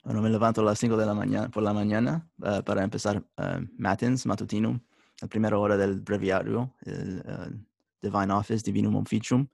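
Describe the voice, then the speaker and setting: A young man, a religious brother studying for the priesthood, speaking Spanish as a third language and answering in a halting way with many restarts. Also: fairly low pitch, fairly even intonation, creaky, darkish tone, dry recording, clean studio sound. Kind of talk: interview answers.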